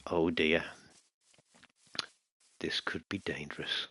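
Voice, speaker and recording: Male gamer, very deep voice, close and dry, loose muttering commentary with sudden alarm. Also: steady tone, slow pace, bright, forceful tone, husky, no room sound. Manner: chatty, muttering to himself, bursts of alarm at mobs. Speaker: gaming channel host. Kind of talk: casual let's-play commentary.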